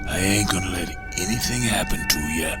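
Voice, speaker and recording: A man acting an unflappable, fatalistic carriage driver in an audio drama, folksy and gravelly, with an unhurried, soothing way of talking. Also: deep voice, over loud music, natural voice. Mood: soothing, hushed.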